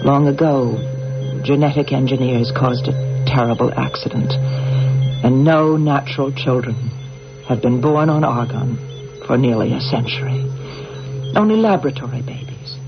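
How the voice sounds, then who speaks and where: Vintage dull radio sound, music behind, earnest alien woman, deliberate and formal, romantic sci-fi drama. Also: a bass voice. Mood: earnest, solemn. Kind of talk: 1980s radio drama acting.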